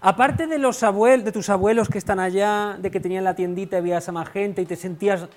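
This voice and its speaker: A woman's voice: medium pitch, fairly even intonation, a little fast, a little mellow. An adult woman teacher leading a classroom discussion with children, asking questions and repeating their answers back to them. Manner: asks questions, repeats pupils' answers back, encouraging "ujú".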